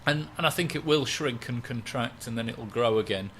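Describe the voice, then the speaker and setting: A man, a stand-up comedian chatting in a relaxed, rambling, self-mocking way. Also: medium pitch, normal speed, slight background noise. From West Yorkshire, England. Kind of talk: relaxed interview chat.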